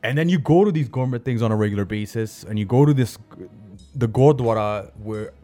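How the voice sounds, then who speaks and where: A man's voice: mid-range voice, brisk, warm tone, faint music behind. A man with a Canadian accent telling personal stories in casual, slangy conversation as an interview guest. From Brampton, Canada. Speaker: comedian and chef, interview guest. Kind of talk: casual chat, personal storytelling.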